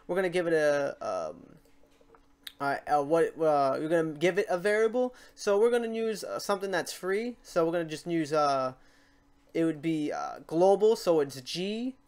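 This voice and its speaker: Casual, halting male tutorial host. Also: fairly high pitch, smooth. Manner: informal, thinking aloud, halting. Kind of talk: casual screen-recorded software tutorial. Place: indoors at a computer, keyboard typing behind.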